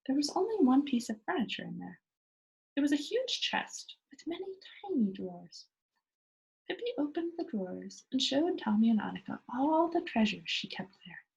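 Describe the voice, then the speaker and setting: High, animated woman reading a storybook. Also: normal speed, gravelly, breathy edge, lo-fi sound. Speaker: storybook reader. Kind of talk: expressive children's read-aloud narration.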